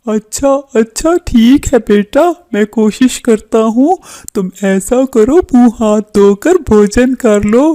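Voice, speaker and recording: A woman voicing a poor, gentle father bird who soothes his daughter in a cartoon moral story. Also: on the high side, slow, few pauses. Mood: patient, gentle.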